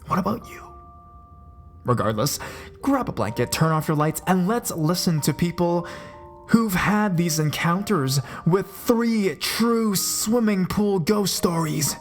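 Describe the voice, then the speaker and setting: A man telling scary stories in a scripted narration, dropping his voice low and slowing down for suspense. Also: lively intonation. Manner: dramatic, drops low and slows for suspense. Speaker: horror story narrator. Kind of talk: scripted horror story narration. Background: faint music.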